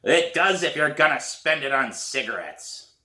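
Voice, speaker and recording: Guy doing a gruff raspy old lady neighbor, blunt and scolding, bit of a roomy echo. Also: a little high, sing-song, moderate pace, sharp tone, recorded from a distance, pitched above the performer's own voice, exaggerated voice. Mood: needling. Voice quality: raspy, hoarse. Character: stern, gruff old woman neighbor, sharp-tongued.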